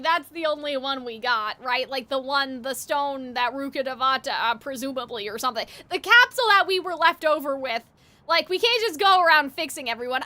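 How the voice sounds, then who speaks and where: A woman's voice: sits high, sharp tone. A woman streamer giving casual live game commentary, thinking aloud in a rambling way and checking in with her chat.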